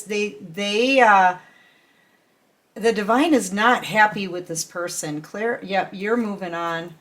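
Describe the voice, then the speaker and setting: Chatty tarot-reading woman, a bit sick. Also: medium pitch. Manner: chatty, affectionate, many fillers and restarts. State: sick, throat-clearing. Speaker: tarot reader.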